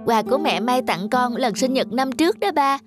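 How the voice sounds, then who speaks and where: A woman voicing a gentle, polite little girl in a cartoon, earnest and caring. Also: sits high, animated, normal speed. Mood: gentle, concerned. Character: earnest, caring little girl looking after her father. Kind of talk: cartoon voice acting. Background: music.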